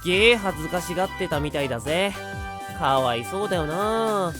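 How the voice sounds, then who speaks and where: A performer voicing a hyperactive, cheeky high-school boy in a Japanese voice drama, excitable and teasing. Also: fairly quick, music in the background, natural voice. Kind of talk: Japanese anime-style voice drama acting.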